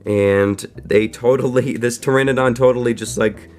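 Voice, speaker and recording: A young man with a cold, hosting a casual, enthusiastic toy review video full of fillers and quick asides. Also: mid-range voice, fairly even intonation, a little mellow, faint music behind. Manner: enthusiastic, chatty, frequent fillers. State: sick, voice affected. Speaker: toy reviewer, channel host.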